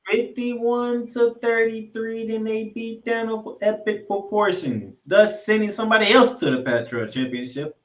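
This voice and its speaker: A man's voice: high voice, measured pace, few pauses. Echoey male sports podcast host. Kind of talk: excitable college football recap commentary. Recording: echoey room, music underneath.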